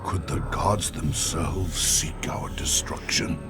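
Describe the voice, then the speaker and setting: An actor playing an old, wise alien mystic warrior in a video game cinematic, grave, sorrowful and formal. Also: male voice, extremely low pitch. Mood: grave, troubled. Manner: formal, portentous, measured. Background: cinematic music.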